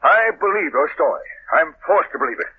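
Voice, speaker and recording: A man's voice: on the high side, expressive, brisk, lightly acted. A man playing a harried police commissioner. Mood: anxious. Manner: agitated, brusque. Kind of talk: 1930s radio drama acting. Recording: tinny old radio broadcast with hiss.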